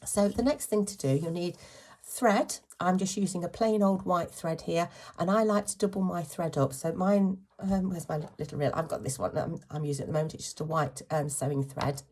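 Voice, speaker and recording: Croaky British woman, low voice, slight cold. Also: fairly even intonation, fairly quick, a little mellow. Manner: chatty, informal, self-correcting. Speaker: craft channel host. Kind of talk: casual hands-on tutorial.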